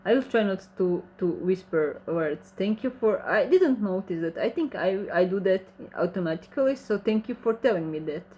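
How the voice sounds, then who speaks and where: Host: woman, Croatian accent, reads chat live and answers it, mid pitch, faint background hiss. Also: expressive, normal speed, hardly any pauses, mellow, muffled recording. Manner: reads viewer comments aloud and answers them, light laughs. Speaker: channel host reading chat questions. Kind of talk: casual livestream Q&A.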